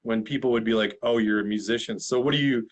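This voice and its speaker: A man's voice: fairly low pitch, fast talker. Frank, joking host in his forties. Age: 40s. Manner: frank and joking, swears casually. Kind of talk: casual chat. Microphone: video call.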